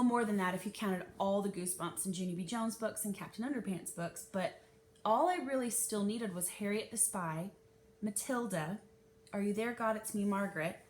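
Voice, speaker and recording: A woman reading a children's novel aloud as an expressive narrator. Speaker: read-aloud reader. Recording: noisy with low rumble.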